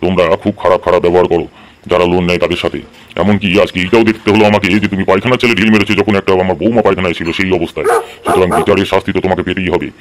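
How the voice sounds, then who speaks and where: A man's voice: low-pitched, steady tone, rapid-fire, a little hiss or hum, close to an everyday voice. A man voicing a stern, bossy middle-aged village headman in a comedy cartoon, questioning and laying down the law with authority. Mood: authoritative. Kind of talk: amateur comedy cartoon dub.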